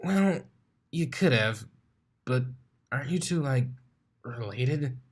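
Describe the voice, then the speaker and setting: A man's voice: mid-range voice, expressive, slow pace, voice pushed up. A man voicing a teasing, laid-back female unicorn pony DJ in a fan fiction reading. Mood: curious. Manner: nosy, playful teasing. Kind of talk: acted fan fiction dialogue.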